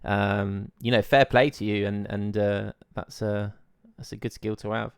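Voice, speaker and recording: Smooth-voiced English man, casual chat. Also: on the low side, flat delivery, unhurried, no echo, studio quality. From England. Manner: easygoing, chatty, laughs often. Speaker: esports caster. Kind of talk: casual pre-show chat.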